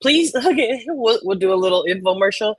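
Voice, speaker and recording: A woman's voice: mid-range voice, expressive, a little fast, husky, no room sound. A woman hosting a livestream with casual chat, joking and swearing in an informal way. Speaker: livestream host.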